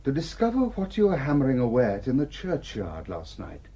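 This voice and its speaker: An actor playing a courteous, shrewd village rector in a radio drama, speaking in a polite, measured, probing way. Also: male voice, mid-range voice, huge pitch swings, warm tone, breathy edge, dull audio. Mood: gently accusing.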